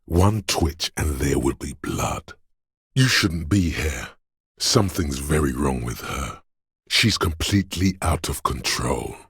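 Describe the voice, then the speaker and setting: An actor voicing a video game's primal fight-or-flight instinct, a menacing, paranoid inner voice that goads in a deep, gravelly rasp, slow and ominous. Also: male voice, animated, a bit bright, dry recording, studio quality, heavily acted. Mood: violent urgency. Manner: slow, ominous, goading, profane. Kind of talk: dramatic video game voice acting, second-person inner voice.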